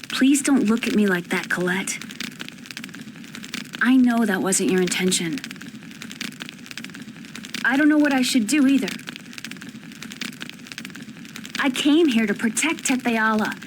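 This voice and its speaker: Woman as young female summoner, video game acting, medium pitch, sincere explanatory delivery, noisy background. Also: natural voice. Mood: conflicted, pleading. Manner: earnest, explanatory. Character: earnest young female summoner from another world. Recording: noisy game ambience behind voice.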